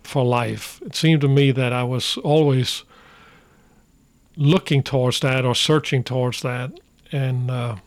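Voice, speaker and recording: Slow, halting older man from Norway. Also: mid-range voice, fairly even intonation. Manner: slow, halting, many pauses and fillers. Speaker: radio guest. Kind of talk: reflective radio conversation.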